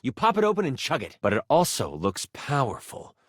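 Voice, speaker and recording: A man's voice: medium pitch, wildly swooping pitch, brisk, no room sound, clean studio sound. A man playing a dramatic game master in a video game, narrating a fantasy adventure with theatrical, teasing storytelling. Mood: intrigued, suspenseful.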